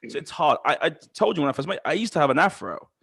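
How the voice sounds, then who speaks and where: A man's voice: on the high side, very animated, fast, creaky, fairly bright tone, husky. A man hosting a podcast with eager enthusiasm, repeating words and restarting his sentences as he talks. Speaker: podcast host.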